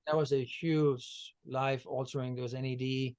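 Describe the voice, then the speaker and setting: A man's voice: medium pitch, flat delivery, normal speed, darkish tone, clean tone. A man from Denmark, a guest on a video-call interview, talking about energy healing in a meandering way with many fillers and restarts. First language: Danish.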